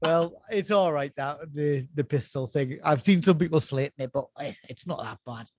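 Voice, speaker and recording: A man's voice: fairly high pitch, normal speed, a little mellow, slightly rough. A man in his forties co-hosting a casual chat, rambling and teasing with frequent chuckles. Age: 40s. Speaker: podcast co-host.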